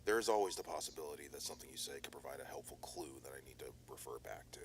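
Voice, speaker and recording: A man playing a calm, very deep-voiced private detective. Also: background noise. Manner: measured, reassuring, formal. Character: calm male private detective, steady, methodical. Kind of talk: analog horror audio drama.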